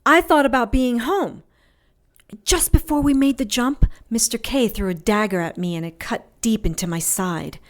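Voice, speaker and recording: A woman's voice: on the high side, moderate pace, natural voice. A woman playing a tough, wounded adventurer in an audio drama, telling her story in a wry, sardonic, colloquial way. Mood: wry storytelling.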